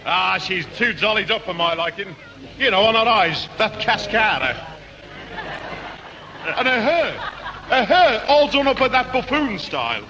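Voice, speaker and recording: A man's voice: high voice, lively intonation, measured pace, long pauses, bright, forceful tone, full character voice. A man playing a cocky, blustering pub regular, pompously mangling long words in 1960s radio comedy. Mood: jovial, mocking. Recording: noisy, reverberant old radio recording.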